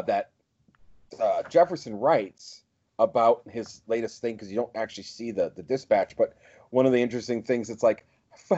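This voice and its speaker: A man with a warm, relaxed voice of medium pitch co-hosts a podcast. He speaks in a casual, conversational way. The audio sounds somewhat dull and lo-fi. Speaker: podcast co-host. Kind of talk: casual discussion, reading excerpts aloud.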